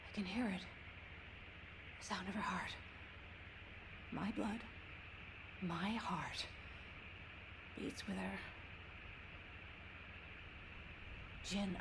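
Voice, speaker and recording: A woman's voice: medium pitch, background noise, close to an everyday voice. An actress playing a stubborn, grieving young woman with intense emotion in a video game drama. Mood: hushed longing.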